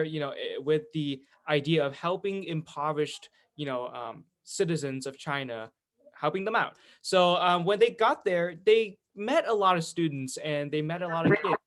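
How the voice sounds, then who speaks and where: A man's voice: fairly high pitch, a bit bright. A teenage male student, giving an informal online presentation in a chatty, enthusiastic storytelling way. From California, United States. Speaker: student presenter, education executive of a youth charity.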